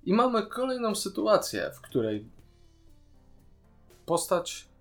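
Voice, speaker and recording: A man's voice: sits high, wildly swooping pitch, slow, faint music behind. Enthusiastic man chatting. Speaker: podcast co-host. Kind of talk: casual review chat about a TV series.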